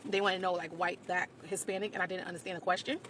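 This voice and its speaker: A woman's voice: fairly low pitch, little pitch movement, fast, fairly bright tone. A woman telling personal stories in a candid, blunt and emphatic way. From Caribbean. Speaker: video creator. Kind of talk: candid personal storytelling to camera. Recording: faint background noise.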